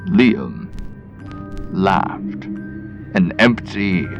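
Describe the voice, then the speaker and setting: Low-ish male storyteller, old radio horror style, takes his time, ominous, music playing under him. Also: sing-song, very slow, creaky. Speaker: storyteller, podcast host. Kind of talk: scripted horror narration in 1920s radio vein.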